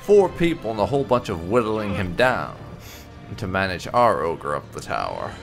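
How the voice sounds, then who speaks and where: Low-voiced man with very animated pitch. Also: moderate pace, vocal fry, soft background music. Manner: dry, sardonic. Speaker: gaming video host. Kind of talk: casual playthrough commentary.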